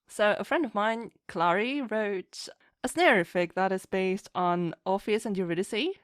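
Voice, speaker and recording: A woman's voice: medium pitch, no echo, studio quality. A woman chatting casually as an interview guest, rambling enthusiastically and acting out her own thoughts as she goes. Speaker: podcast guest, fan fiction writer.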